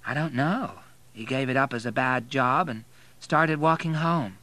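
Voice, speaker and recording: A man's voice: mid-range voice, unhurried, bright, forceful tone, voice pushed up. A man voicing a brash young female prostitute, teasing and blunt, in an audiobook. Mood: offhand, mocking.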